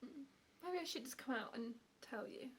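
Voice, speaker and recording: Young woman whispering close to the mic, shy and apologetic, gravelly fry, faint hiss behind. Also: high-pitched, lively intonation, normal speed. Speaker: ASMR creator. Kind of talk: ASMR roleplay.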